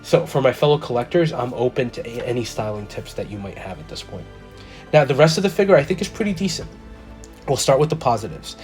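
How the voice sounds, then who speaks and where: A man hosting his own collector channel, talking through a careful review in a measured, methodical way, a little stuffy from a cold. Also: medium pitch, lively intonation, a little mellow, faint music behind. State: slightly congested from a cold. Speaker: toy collector channel host. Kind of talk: scripted product review voice-over.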